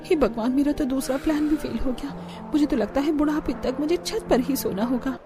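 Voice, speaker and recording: A young cartoon daughter-in-law, scheming and fed up, played by a woman, grumbling to herself in a fairly high voice. Soft music runs underneath. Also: normal speed, lightly acted. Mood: frustrated.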